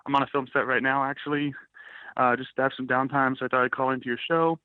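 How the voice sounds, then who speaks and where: A man's voice: mid-range voice, flat delivery, fast, dry recording, phone-quality sound. A man in his twenties calling in to a live show, eager and chatty. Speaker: caller. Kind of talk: phone call to a live show.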